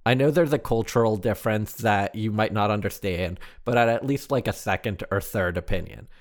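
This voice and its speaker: Hombre de voz algo grave leyendo una carta. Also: male voice, on the low side, fairly quick. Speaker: podcast co-host reading a listener letter. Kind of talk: reading a letter aloud, casual chat.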